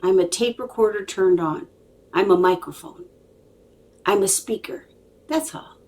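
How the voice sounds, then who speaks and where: A woman's voice: mid-range voice, unhurried, a little mellow. Emphatic woman telling a dramatic personal story. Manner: emphatic, repeats phrases, checks with "okay?". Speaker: psychic medium and storyteller.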